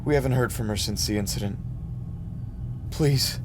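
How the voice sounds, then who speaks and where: A man's voice: mid-range voice, normal speed, noisy background, natural voice. An actor playing an anxious, pleading father in a sci-fi audio drama. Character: anxious, pleading father searching for his daughter.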